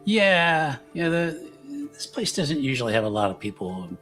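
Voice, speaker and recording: A man's voice: on the high side, sing-song, moderate pace, fairly bright tone, soft background music, pitched above the performer's own voice. A man voicing a short, flirtatious, easygoing tavern regular in a tabletop game, informal and repeating himself. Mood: flirty, easygoing.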